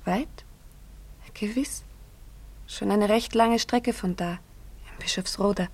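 A woman's voice: medium pitch, slow pace, darkish tone. An actress playing a very young peasant girl in a German radio play, anxious and faithful, speaking earnestly and shyly. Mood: wistful, worried. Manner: earnest, shy, wistful.

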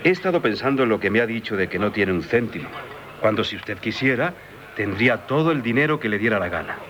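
Man playing a low-voiced, inquisitive tavern philosopher. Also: animated, quick pace, dull audio, a crowd in the background, close to an everyday voice. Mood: intrigued. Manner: musing, inquisitive. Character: curious, philosophizing tavern confidant, scornful of intruders. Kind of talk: 1970s radio drama acting. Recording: 1978 radio broadcast with music behind.

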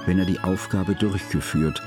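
An actor playing a bookish, earnest, fussy scholar, narrating a literary radio play in a measured, formal, pedantic way. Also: male voice, low voice, fairly even intonation, music in the background, lightly acted. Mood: reverent, earnest. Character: bookish, earnest, fussy male scholar recounting his friend's life.

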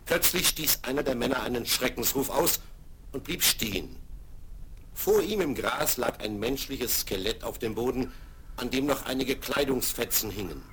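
A man narrating a children's radio play in a calm, even storytelling manner. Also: medium pitch, normal speed, a little hiss or hum. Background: music underneath.

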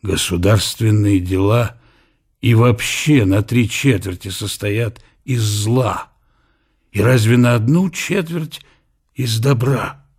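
An actor playing an ailing, aging scholar who muses bitterly and proudly on his country in a radio drama, in a husky, deliberate voice. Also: on the low side, darkish tone, heavily acted. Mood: wry, brooding. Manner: deliberate, weighty, philosophical musing. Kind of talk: radio play inner monologue. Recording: music behind the voice.

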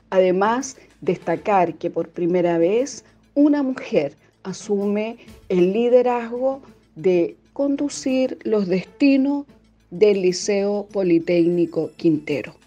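A woman with a Chilean accent giving a formal statement in measured, hesitant phrases. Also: mid-range voice, dark tone, lo-fi sound. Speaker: municipal education director.

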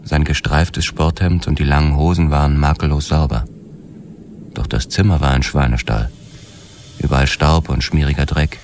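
An actor narrating a noir radio drama as a world-weary police detective, terse, grim and sober. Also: male voice, very deep voice, steady tone, normal speed, a little hiss or hum.

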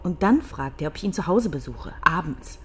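An actress playing a sharp-tongued young archive clerk in a radio crime drama, wry and quick to take offence. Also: female voice, low-pitched, animated, slight background noise.

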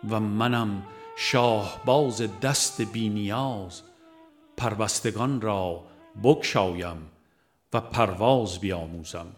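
Formal male audiobook narrator over music. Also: fairly low pitch. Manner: formal, measured recitation. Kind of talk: scripted narration of a religious book.

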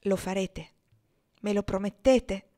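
A woman's voice: mid-range voice, steady tone, moderate pace, pitched above the performer's own voice, close to an everyday voice. A woman voicing a desperate young husband who pleads and turns bitter, in a read-aloud story. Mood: pleading.

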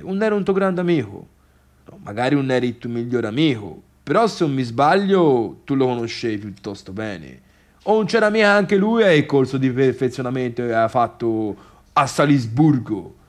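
An actor playing an agitated, obsessive misfit in a radio drama monologue, speaking theatrically with halting repetitions. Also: male voice, medium pitch, close to an everyday voice. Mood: nagging.

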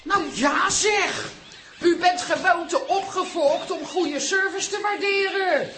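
A woman's voice: very high-pitched, slow, shouting, noisy background. A woman playing an over-eager, touchy toilet attendant in a Dutch radio play, with gushing politeness and a flustered stammer. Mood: huffy.

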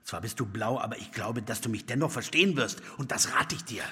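An actor playing a menacing, hot-tempered murderer in a German radio play, rough-voiced and commanding. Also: male voice, mid-range voice, animated, fairly quick, bright, forceful tone, full character voice. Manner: commanding, threatening. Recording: long hollow reverberation.

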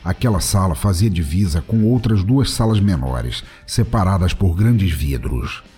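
Brazilian male narrator, low voice, scripted comic action storytelling over music. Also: animated, a little slow, warm tone, breathy edge.

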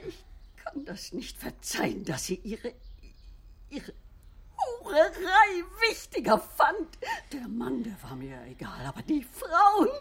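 A woman's voice: high-pitched, wildly swooping pitch, slow, vocal fry, fairly bright tone, exaggerated voice. An actress playing a bitter, domineering, jealous woman doctor in a radio crime drama, theatrical and venomous. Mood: bitter, hateful. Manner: theatrical, venomous, lurching from hiss to wail.

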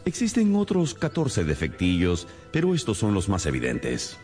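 An actor playing a shrewd, stern detective in a radio drama, speaking in a deliberate, theatrical, expository way. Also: male voice, low voice, huge pitch swings, a little mellow, soft background music. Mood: confident, demonstrative.

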